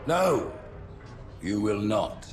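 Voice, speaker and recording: An actor playing a stern, imperious alien high prophet in a video game, speaking in a stately, pompous, deliberate way. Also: male voice, expressive, background noise. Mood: stern judgment. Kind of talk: dramatic video game cutscene acting.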